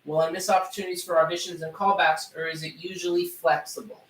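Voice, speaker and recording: A man reading viewer questions aloud in a halting way, stumbling over names. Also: sits high, flat delivery, fairly bright tone. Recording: roomy sound from a distance.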